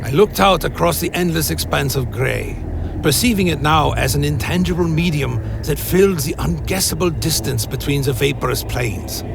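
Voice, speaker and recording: A man's voice: on the high side, vocal fry, noisy background. A man playing a proud, haunted undead inquisitor, telling his tale in grave, formal, dramatic narration for a gothic fantasy radio play. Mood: solemn wonder. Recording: music bed behind the voice.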